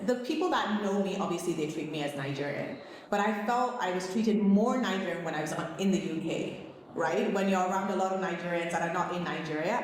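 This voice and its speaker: A woman with a British accent answers interview questions in an articulate, emphatic way. Her voice is fairly low. The recording has heavy echo and faint noise behind it. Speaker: artist and foundation founder being interviewed. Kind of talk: unscripted documentary interview. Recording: very echoey hall, faint noise.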